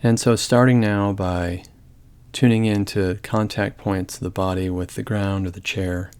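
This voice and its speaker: A man leading a guided body scan meditation in a calm, gentle, measured way, leaving long pauses. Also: sits low, smooth.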